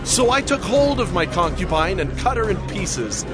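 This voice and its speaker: An actor playing a resolute traveler and master, speaking in an earnest, declamatory way in scripture drama. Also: male voice, high voice, big pitch swings, moderate pace. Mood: grieved, outraged. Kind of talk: dramatized audio Bible acting. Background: background music.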